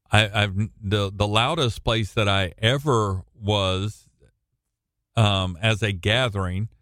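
A middle-aged American man with a booming voice, teaching from the Bible as a preacher in a halting, deliberate way with long pauses. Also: a bit bright, clean tone, no echo, studio quality. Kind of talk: Bible reading and informal teaching with personal anecdotes. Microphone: close studio-style microphone at a computer.

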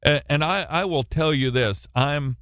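A man teaching through a Bible passage on the radio, with a loud, projecting voice and a halting delivery full of restarts and repeated words. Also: fairly high pitch, dry recording, clean studio sound. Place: radio studio, alone.

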